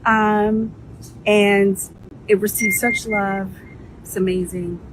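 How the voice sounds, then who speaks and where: A woman's voice: medium pitch, level tone, slow, slight background noise, low-quality recording. Earnest woman business founder. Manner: earnest, encouraging, faith-filled. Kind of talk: heartfelt direct-to-camera message.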